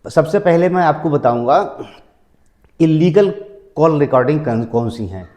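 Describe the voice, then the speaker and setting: A man's voice: a little high, animated, normal speed, mellow. A man presenting legal advice to viewers in a didactic way, repeating key phrases and numbering his points. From India. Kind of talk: explanatory legal talk to viewers.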